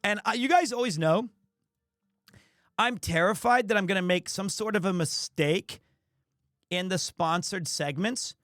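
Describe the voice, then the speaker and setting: A male streamer with a fairly high voice talks casually to his viewers. He rambles and jokes at his own expense. The sound is close and dry. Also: a little slow, long pauses, a bit bright, no room sound. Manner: joking, self-deprecating, rambling. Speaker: streamer, host. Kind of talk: casual livestream chat with viewers.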